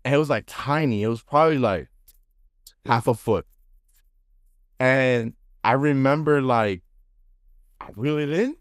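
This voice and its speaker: A man hosting a casual podcast, telling stories in an animated, slangy way. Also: mid-range voice, measured pace, long pauses, clear, resonant tone, no echo, clean studio sound. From Dominican background. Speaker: podcast host. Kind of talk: casual chat, storytelling.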